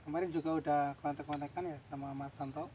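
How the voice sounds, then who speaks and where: A man's voice: a little high, level tone, quick pace, mellow. A young man with a Javanese accent chatting casually outdoors, picked up by a camera a few metres away. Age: young adult. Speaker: buyer, fellow vlogger. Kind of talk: casual chat. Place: outdoors on grass by a rice field. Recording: noisy outdoor camera audio.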